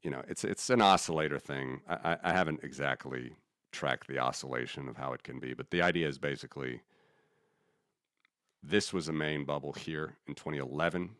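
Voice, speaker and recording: A man's voice: rumbling low, fairly quick, a bit bright, no room sound, studio quality. A man who grew up in the United States, hosting a casual economics podcast and thinking aloud in a rambling, opinionated way with many restarts. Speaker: podcast host.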